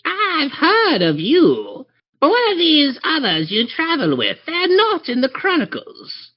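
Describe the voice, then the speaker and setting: A woman's voice: high-pitched, wildly swooping pitch, a little slow, dull audio, full character voice. A woman playing a pompous, stern town guard in a tabletop game, speaking in officious, old-fashioned formal language. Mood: suspicious. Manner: officious, mock-archaic formal speech. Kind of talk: acting a character in a tabletop game.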